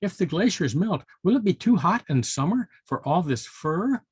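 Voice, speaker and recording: A small, anxious female mountain rodent from a storybook , voiced by a man. The pitch is lifted and swings about, husky underneath, and she frets in exclamations. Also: very animated, normal speed, no echo, full character voice. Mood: alarmed. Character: small anxious female squirrel and marmot, squeaky. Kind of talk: children's storybook read-aloud.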